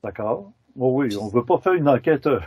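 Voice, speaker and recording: A man's voice: mid-range voice, expressive, normal speed, a little mellow, breathy edge, no echo. A middle-aged male host, interviewing his guest in a chatty way with leading questions and friendly asides. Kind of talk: casual interview. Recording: dull lo-fi dry studio audio.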